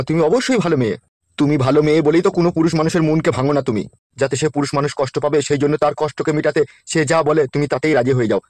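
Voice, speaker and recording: Cartoon politician, male actor, crude smooth talker, quick delivery, close dry sound, West Bengal Bengali accent. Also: on the high side, a little mellow, no echo, lightly acted. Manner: crude, brash, smooth-talking. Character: scheming, lecherous, bossy male politician. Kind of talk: comedy cartoon voice acting.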